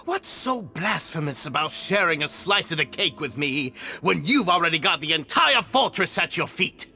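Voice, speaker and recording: An actor voicing an arrogant, cruel male prison overseer in a video game, sneering and shouting in bursts. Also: a little high, sing-song, normal speed, exaggerated voice.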